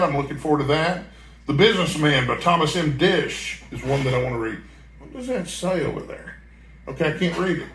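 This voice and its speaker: An American man with a somewhat high, bright voice talks casually to his viewers. His manner is folksy and rambling, as in a homemade book vlog. The room around him adds a clear echo. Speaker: book vlogger. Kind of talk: casual chat to camera. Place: indoor room at home. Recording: echoey, roomy sound.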